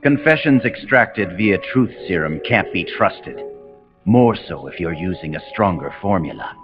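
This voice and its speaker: Deep, imperious video game villain. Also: male voice, expressive. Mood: cool, probing. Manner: measured, imperious, philosophical monologuing. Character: cold, commanding male rogue officer, grandiose engineered super-soldier. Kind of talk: dramatic video game voice acting. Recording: dull compressed game audio under loud music.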